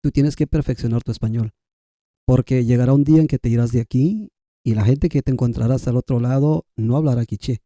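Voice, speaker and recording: Very dark-toned Guatemalan man, native K'iche' speaker. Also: mid-range voice, fairly quick, dry recording. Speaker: podcast guest. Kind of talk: conversational personal storytelling.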